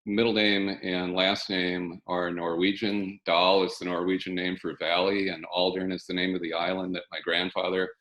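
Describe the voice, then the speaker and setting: Older man in his sixties, deep flat voice, halting with restarts, speaking on a video call. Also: rough recording. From South Dakota, US. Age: 60s. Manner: measured, halting, with many restarts. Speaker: panelist, fire scholar. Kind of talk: online panel presentation. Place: home basement.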